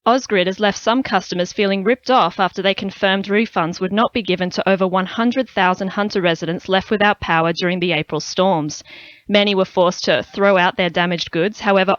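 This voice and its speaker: A woman's voice: mid-range voice, fairly even intonation, brisk, no echo. A woman with an Australian accent reading a scripted news bulletin on the radio. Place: dry radio studio. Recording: slightly dull, lo-fi broadcast audio.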